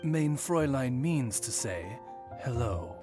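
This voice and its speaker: A man's voice: mid-range voice, expressive, takes it slowly, over music. A man voicing a courteous talking raven in a video game, a humble butler-like familiar with a formal, deferential manner. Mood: politely deferential. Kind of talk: video game cutscene acting.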